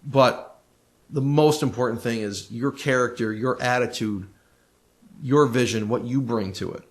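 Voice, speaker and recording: A man's voice: mid-range voice, measured pace. Emphatic man chatting on a podcast. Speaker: podcast co-host, recording engineer. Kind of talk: casual podcast conversation.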